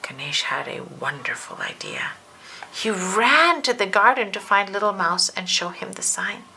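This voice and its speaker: Woman storybook narrator, expressive, deliberate emphasis, gravelly edge, bright voice, faint background noise. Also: medium pitch. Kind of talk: children's read-aloud, scripted narration.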